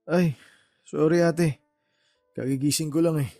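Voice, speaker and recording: Man acting a higher-voiced, exasperated Filipino younger brother. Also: fairly even intonation, unhurried, warm tone, dry recording, faint music behind. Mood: drowsy. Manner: defensive, exasperated. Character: weary, exasperated younger brother working in the city. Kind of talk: Tagalog radio-drama phone dialogue.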